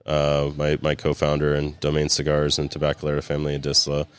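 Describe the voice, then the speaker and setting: Very deep-voiced man, rambling. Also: completely flat delivery, fairly quick, smooth. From California. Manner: rambling, many fillers and restarts, chuckles often. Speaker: guest, cigar company co-founder. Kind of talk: casual interview chat.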